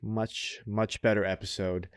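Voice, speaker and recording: A man's voice: on the low side, flat delivery, a little slow, no echo, studio quality. A man co-hosting a casual anime review podcast, chatting informally and often repeating short phrases for emphasis. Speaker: podcast co-host.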